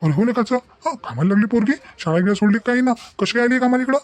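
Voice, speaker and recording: A man voicing a grumbling village husband with a Vidarbha Marathi accent in an animated moral story, very colloquial. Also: very high-pitched, quick pace, dry recording. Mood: surprised.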